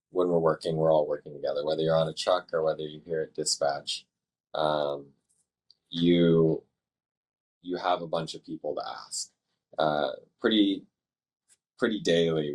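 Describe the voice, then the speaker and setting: A man working as a fire dispatcher, answering interview questions in a measured, thoughtful way with halting phrasing. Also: extremely low pitch, slightly rough.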